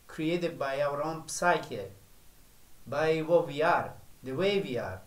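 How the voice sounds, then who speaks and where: A man giving a spiritual sermon as a teacher, speaking slowly and deliberately with long pauses. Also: a little high, roomy sound.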